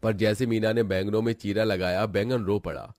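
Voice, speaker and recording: A man's voice: lowish voice, fairly even intonation, brisk, darkish tone, dry recording. A man narrating a scripted cartoon folk tale as storyteller.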